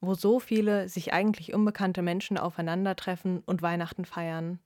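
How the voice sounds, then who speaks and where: Woman reading a secretive man guest, low and hushed, conspiratorial. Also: little pitch movement, moderate pace, dry recording, studio quality, lightly acted. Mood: chatty.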